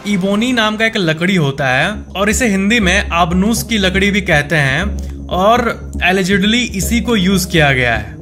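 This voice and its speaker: A man with an Indian accent presents facts in a conversational explanatory style, mixing in English words and posing questions that he then answers. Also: high-pitched. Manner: mixes English words and phrases into speech. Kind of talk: explanatory narration in countdown format. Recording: music bed under the voice. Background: background music.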